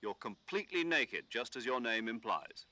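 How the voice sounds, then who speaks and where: A military commander from a video game, calm and in full authority. His voice is crisp-edged and bright, recorded very dry. He briefs in a measured, instructive way. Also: mid-range voice, dry recording. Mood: stern, wry. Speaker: mission commander giving radio briefing. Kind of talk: scripted video game voice acting.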